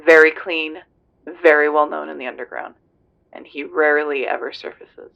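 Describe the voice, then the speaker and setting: Man acting as a tough police investigator, highish gravelly voice, terse, serious, dull phone-call sound. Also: flat delivery, moderate pace. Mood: serious, informative. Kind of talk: roleplay audio drama acting.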